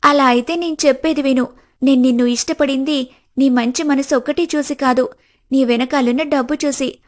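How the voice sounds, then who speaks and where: Lady voicing a coy money-minded young girlfriend in a cartoon story, pretty high voice. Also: steady tone, moderate pace, close to an everyday voice. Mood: scornful. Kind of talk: scripted cartoon story dialogue.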